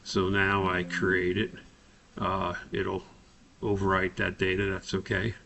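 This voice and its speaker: A man instructor talking through a software tutorial, explaining and thinking aloud as he goes. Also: low voice, monotone, takes it slowly, gravelly, slight background noise. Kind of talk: spoken screen-recorded software tutorial.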